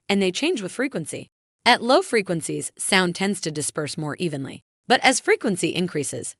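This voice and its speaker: A woman with a fairly low voice delivers scripted explainer narration. Her intonation moves up and down in a lively way while she stays measured and instructional. The sound is dry and close, with very little room in it. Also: dry recording. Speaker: narrator of a technical tutorial.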